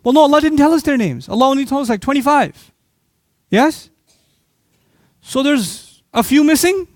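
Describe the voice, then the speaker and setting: A high-pitched man delivers a lively religious lecture, firing rhetorical questions at his listeners and answering them himself. His voice sits close and dry, with no room around it. He talks with quick asides and sudden jumps in pitch when he makes a point. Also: dry recording. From Pakistan. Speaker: Islamic speaker. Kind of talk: lecture to a live audience.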